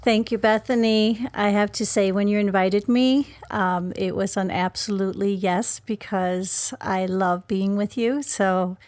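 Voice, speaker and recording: A woman's voice: mid-range voice, steady tone, unhurried, few pauses, a little mellow, no room sound. A woman from Denmark telling a personal story conversationally as a podcast guest. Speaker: podcast guest, ministry worker.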